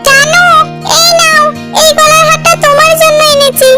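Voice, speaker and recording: An actor voicing a lovesick, excitable little male cartoon ghost in a squeaky, childlike voice with colloquial speech. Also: moderate pace, faint music behind. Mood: smitten, boastful.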